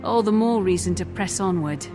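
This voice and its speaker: A woman's voice: medium pitch, normal speed, music in the background, lightly acted. Composed woman as a female game hero. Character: composed, gently teasing female adventurer hero. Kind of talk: scripted video game dialogue.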